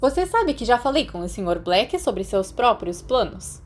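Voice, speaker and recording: A woman's voice: a little high, very animated, moderate pace, a little hiss or hum, voice pushed up. A Brazilian woman voicing a stern, weary older female schoolteacher in an audiobook, formal and pointed. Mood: stern, disappointed. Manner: formal, exasperated, pointed questioning.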